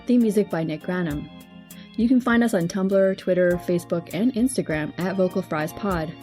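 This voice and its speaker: A woman producer reading a scripted podcast outro in a steady voice. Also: on the low side, moderate pace. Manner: steady, read aloud. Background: theme music.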